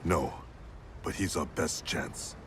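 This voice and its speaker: A deep, commanding warrior leader. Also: male voice, takes it slowly, a bit bright, a little hiss or hum, heavily acted. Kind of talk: video game cutscene acting. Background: game score music.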